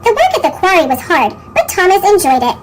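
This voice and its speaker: A woman reading a children's story aloud in a squeaky, pitch-shifted chipmunk voice. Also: huge pitch swings, a little fast. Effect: sped-up chipmunk pitch shift. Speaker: storybook reader.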